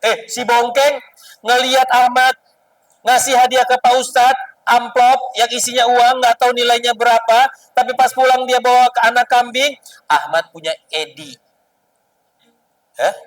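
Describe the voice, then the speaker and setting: A man's voice: very high voice, steady tone, normal speed, recorded from a distance, rough recording. A man telling stories to children as an Islamic storyteller, teasing and explaining as he goes.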